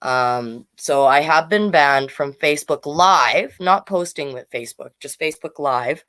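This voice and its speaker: Woman w a slightly low voice doing a kids storytime stream, chatty, reads facts, gets sidetracked a lot. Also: a little slow, a bit bright. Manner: chatty, easily sidetracked, self-correcting. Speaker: host of a children's storytime livestream. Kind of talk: casual livestream chat, reading out facts.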